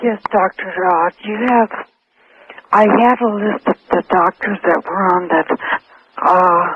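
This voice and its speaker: A man calling in to a radio show by telephone, talking off the cuff in a halting way, searching for words. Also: high voice. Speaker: phone-in caller. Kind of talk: unscripted radio call. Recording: muffled phone line with faint noise.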